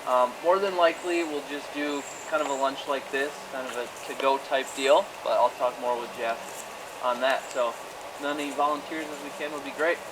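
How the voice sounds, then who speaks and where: A man making a relaxed, plain-spoken announcement at an outdoor club meeting. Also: medium pitch, normal speed. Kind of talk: casual announcement. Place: outdoor park. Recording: noisy outdoor recording.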